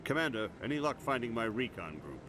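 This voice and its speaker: A man's voice: mid-range voice, sing-song, fairly quick, over music, lightly acted. An actor playing a worried male admiral who speaks with urgent earnestness. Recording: game audio, noisy.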